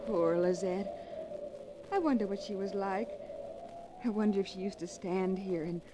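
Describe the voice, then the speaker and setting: An actress playing a young bride in a 1940s radio drama, earnest, breathless and melodramatic. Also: female voice, medium pitch, a little slow, lightly acted. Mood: wistful, curious. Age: young adult. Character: young bride, eager, romantic, easily frightened. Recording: noisy 1940s radio recording.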